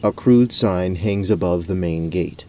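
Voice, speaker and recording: A man with a lowish, dark and mellow voice narrates a fantasy tale. His delivery is measured and descriptive, like the storyteller of a radio play. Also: a little hiss or hum.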